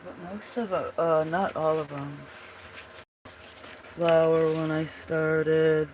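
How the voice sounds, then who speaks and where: A man's voice: a little high, flat delivery, slow, slight background noise, rough recording. A man hosting a livestream, chatting casually about his drawings in a froggy voice, meandering and trailing off as he searches for pieces. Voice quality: froggy, gravelly voice. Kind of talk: casual rambling commentary.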